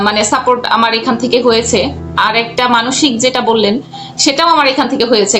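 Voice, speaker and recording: High-voiced woman in an interview. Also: fairly bright tone. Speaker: guest, freelance cartoon voice artist and animator. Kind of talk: conversational interview answers.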